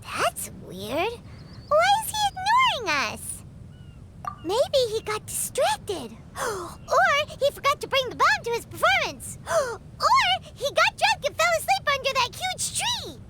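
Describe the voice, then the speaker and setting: Game fairy sidekick: extremely high childlike squeak, bubbly and excitable, swinging intonation over music. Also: female voice, slow pace, heavy background noise, heavily acted. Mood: puzzled, musing. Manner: bubbly, refers to herself in third person. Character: tiny excitable floating fairy girl companion. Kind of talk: cartoonish video game voice acting.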